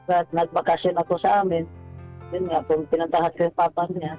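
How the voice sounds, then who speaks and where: A man calling a radio show, telling his personal story in a polite, halting way. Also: on the high side, little pitch movement. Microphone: telephone. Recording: dull phone-in line, music bed.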